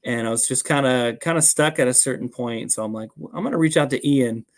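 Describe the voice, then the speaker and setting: A man's voice: mid-range voice, brisk. Casual, modest man chatting as guest. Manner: modest, appreciative, informal. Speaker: podcast guest, fan audio drama producer. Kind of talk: casual interview chat.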